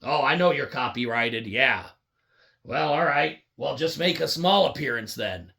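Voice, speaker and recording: Low, husky, gravelly woman telling stories. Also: animated, a little slow, a bit bright. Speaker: puppet show storyteller. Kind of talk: improvised children's storytelling, talking to viewers.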